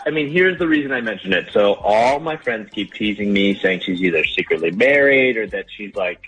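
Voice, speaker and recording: A man's voice: lowish voice, animated, brisk, fairly bright tone, husky, rough recording. A man calling in to a radio show, explaining things hesitantly in a casual conversational way. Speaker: phone-in caller.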